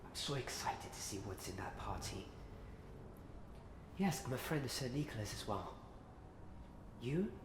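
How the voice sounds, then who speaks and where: A man doing ASMR fantasy roleplay, soft and often slipping into a whisper, speaking gently and hesitantly to the listener. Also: mid-range voice, very animated, measured pace, long pauses, noisy background. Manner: gentle, hesitant, repeats himself, addresses the listener.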